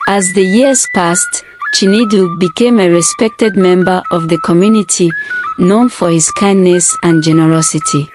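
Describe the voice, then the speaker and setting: A woman reading a scripted folktale as a storyteller, in a measured, formal read-aloud manner. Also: lowish voice. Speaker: storyteller, narrator. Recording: music playing behind the voice. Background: background music.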